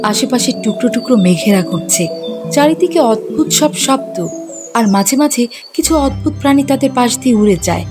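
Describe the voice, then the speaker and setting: A woman's voice: on the high side, lively intonation, normal speed. A woman reading scripted fairy-tale narration as the storyteller. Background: faint music.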